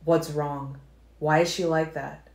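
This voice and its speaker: A woman's voice: low-pitched, fairly even intonation, slow, creaky, darkish tone, exaggerated voice. A woman reading as a brooding young male vampire, speaking in a tense, pained way. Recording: roomy echo.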